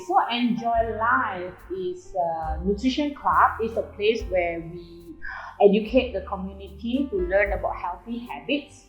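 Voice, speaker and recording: A woman's voice: medium pitch, echoey room, highs cut off. An Indonesian woman whose first language is Javanese, chatting in an interview about her nutrition club, bubbly and laughing often, with restarts. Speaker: guest, nutrition club owner. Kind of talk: casual interview chat. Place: small shop café indoors.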